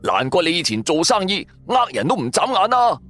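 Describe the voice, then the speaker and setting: A man as an excitable sidekick, male, fawning, gushing exclamations, raised pitch, swooping intonation, audio drama. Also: a little fast, faint music behind. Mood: gushing admiration. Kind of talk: Cantonese audio drama acting.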